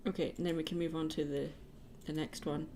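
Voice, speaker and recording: A woman's voice: low-pitched, level tone, a little fast, mellow, a little hiss or hum. A woman chatting casually and playfully while livestreaming her artwork. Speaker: digital artist livestreaming.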